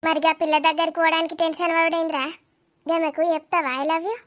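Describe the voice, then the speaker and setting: A nosy, affectionate village aunt in a cartoon comedy, put on by a woman, with an extremely high voice. She fusses and chatters question after question in a Telangana accent. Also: level tone, fast talker, fairly bright tone. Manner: inquisitive, chattering.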